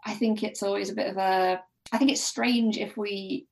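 A woman's voice: medium pitch, steady tone, moderate pace, gravelly, a little mellow. A woman guest on a podcast discussing a book casually, thinking aloud in a hesitant way.

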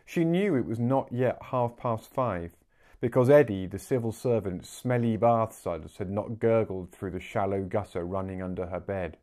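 Guy in his 40s narrating an audiobook, lowish voice, tells it with expression. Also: mellow. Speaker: book narrator. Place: small living room, indoors.

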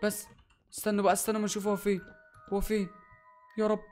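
A young man with an Egyptian Arabic accent giving excited gameplay commentary, often repeating words in quick bursts. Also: high-pitched, faint music behind. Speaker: gaming YouTuber, host.

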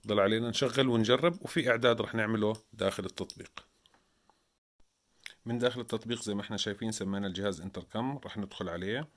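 A man's voice: lowish voice, fairly bright tone. A man with a Levantine Arabic accent presenting a hands-on tech tutorial, explaining informally step by step.

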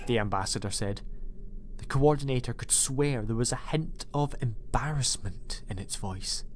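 A man's voice: medium pitch, moderate pace, slight background noise. A man reading scripted, dramatic story narration as an audiobook narrator. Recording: music bed under narration. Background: music.

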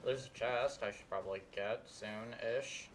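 A man's voice: mid-range voice, unhurried, heavy background noise. A young man giving casual live game commentary, rambling and muttering to himself. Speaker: game streamer. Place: indoor room at a desk.

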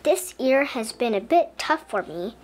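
A woman reading a children's story aloud in an expressive, deliberate storybook style. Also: high-pitched, faint music behind. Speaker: children's book reader. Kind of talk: expressive scripted storybook narration as a young boy.

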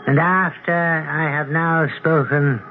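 Solemn, slow-spoken judging god. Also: male voice, on the high side, fairly even intonation, slight background noise. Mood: stern. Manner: solemn, formal, imperious. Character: stern supreme god pronouncing judgment. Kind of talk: 1970s radio drama acting. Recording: narrowband old radio with music behind.

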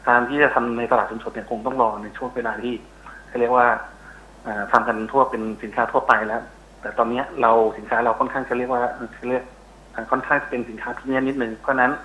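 Middle aged guy expert on a phone interview, sharp bright voice, explaining stuff, bit hesitant. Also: medium pitch, flat delivery, fast talker, rough recording. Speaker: interview guest, university researcher. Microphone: telephone call.

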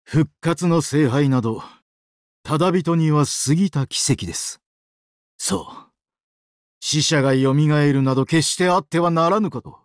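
An actor playing a sadistic, weeping angel of doomsday in a mobile game, exaggeratedly polite and dramatic, swinging between sorrow and glee. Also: male voice, on the high side, measured pace, dry recording, clean studio sound, natural voice. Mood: mournful, menacing. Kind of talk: Japanese mobile game character acting.

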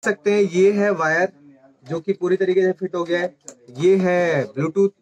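Higher-voiced man explaining step by step. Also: fairly even intonation, unhurried. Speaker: presenter of a motorcycle gear channel. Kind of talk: hands-on tutorial explanation. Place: small indoor office or shop room. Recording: faint background noise with clicks.

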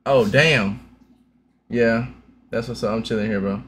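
A man's voice: mid-range voice, a little slow, a bit bright, slight background noise. A young man streaming a reaction on a headset, brash and profane, full of loose slang. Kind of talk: reaction commentary.

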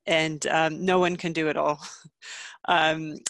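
A woman's voice: sits low, little pitch movement, slow, a bit bright, dry recording. Canadian woman lecturing on a headset. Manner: friendly, many ums, self-deprecating. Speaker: obstetrician giving a webinar. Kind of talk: medical lecture. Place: small home room, video call.

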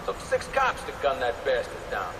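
An actor playing a brisk, rattled police officer in a video game, reporting in clipped phrases over the radio. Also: male voice, mid-range voice, wildly swooping pitch. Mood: urgent, reporting. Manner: clipped, matter-of-fact. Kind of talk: video game cutscene acting. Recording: distant, echoey, noisy radio transmission.

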